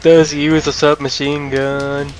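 A man's voice: a little high, little pitch movement, unhurried. A man co-commentating on a game with casual, teasing, profane banter. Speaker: let's play co-commentator. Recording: game music behind. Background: fighting game music.

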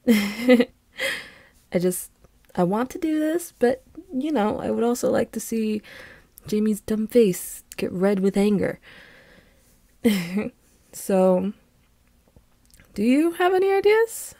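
Woman performing a loving young girlfriend, roleplay audio, teasing, excitable, slow-paced, close and dry recording. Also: on the high side, no echo, exaggerated voice. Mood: affectionate, teasing. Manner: bubbly, teasing, giggly, pleading.

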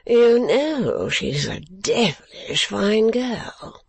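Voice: medium pitch, very animated, drawn-out words, creaky, husky, dry recording, voice pushed up, full character voice. A narrator voicing a foolish, eager young English lord in a storybook, with an affected, wheedling drawl. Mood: languid, admiring. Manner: drawling, affected, hushed and pleading.

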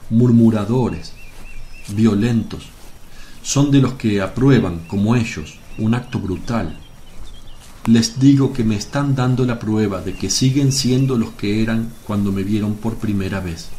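An actor playing a calm, stern, authoritative holy teacher, grave and measured, in a religious radio drama. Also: male voice, fairly low pitch, slight background noise.

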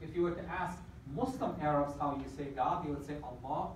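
A man's voice: a little high, a little fast, a little hiss or hum. A middle-aged man from Afghanistan giving a campus lecture, asking the audience questions as he explains. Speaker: guest lecturer. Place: large lecture hall indoors. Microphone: far camera at the back of the hall. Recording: echoey hall from far off.

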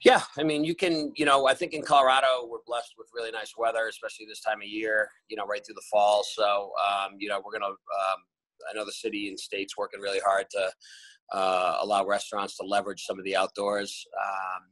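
Man rambling on a video call, bright tone. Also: on the low side, flat delivery, fairly quick, dry recording, poor audio. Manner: rambling, many fillers and restarts. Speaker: restaurant group owner. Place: bright room at home. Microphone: laptop camera on a video call.

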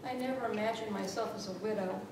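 A middle-aged woman giving a humorous tall-tale speech with theatrical, deliberate delivery and dramatic emphasis. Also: creaky. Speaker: contest speaker. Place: small meeting room with rows of chairs. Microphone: far camera. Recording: echoey room from far off, noisy rumble.

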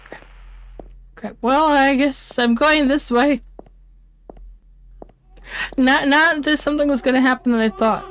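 A woman's voice: sits high, steady tone, unhurried, pauses often. A woman streamer giving casual commentary on a horror game, muttering short, wary reactions to herself.

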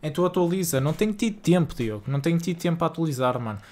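A man's voice: on the high side, expressive, rapid-fire, darkish tone, a little hiss or hum. A young man from Portugal with a northern Porto accent, streaming a game live and chatting casually with viewers in slangy, exasperated banter. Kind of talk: live-stream gaming chat. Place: home streaming room.

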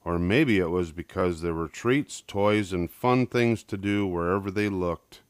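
A man's voice: deep voice, measured pace, smooth, no room sound, studio quality. An older man narrating a children's picture book as a scripted story reading.

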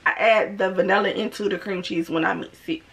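A woman's voice: mid-range voice, steady tone, fairly quick, creaky, fairly bright tone. A young woman chatting casually to her viewers in a cook-with-me video at home, playful and talking straight to them. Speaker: cooking vlogger. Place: home room, indoors.

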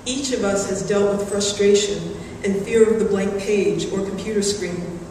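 A middle-aged woman delivering a prepared graduation speech in a measured, earnest way at a podium microphone in a large hall. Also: mid-range voice, mellow. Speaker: graduating student class speaker. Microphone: podium microphone, recorded from the audience. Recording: very echoey hall, distant, background noise.

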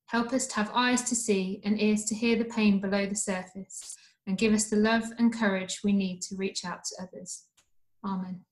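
A woman's voice: medium pitch, steady tone, moderate pace. A woman in her thirties reading an intercession from a sofa in her living room. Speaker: church member leading prayer. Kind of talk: read-out intercession. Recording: echoey living room webcam recording.

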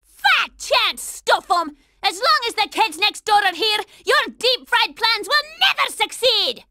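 A woman's voice: very high-pitched, sing-song, unhurried, sharp tone, full character voice. A woman voicing a bossy, hot-tempered young girl soldier in a cartoon video game, brash, scolding and sarcastic. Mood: defiant, angry.

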